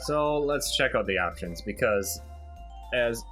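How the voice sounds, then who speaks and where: Bright-voiced man chatting through a game. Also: medium pitch, lively intonation, measured pace, soft background music. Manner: chatty, explaining the game as a fan. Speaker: let's play host. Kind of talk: casual game commentary.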